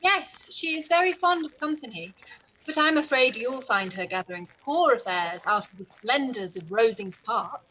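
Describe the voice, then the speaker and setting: A woman playing a quick-witted young gentlewoman in a period radio play, courteous and arch. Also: a little high, animated, slight background noise, rough recording. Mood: drily polite.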